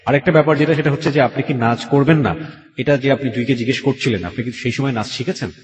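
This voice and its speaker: Male radio host, Bangladeshi accent, fast probing questions, occasional English, reverberant recording. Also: medium pitch, steady tone. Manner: asks clarifying questions, mixes in English. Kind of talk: probing interview. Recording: echoey room with long reverb.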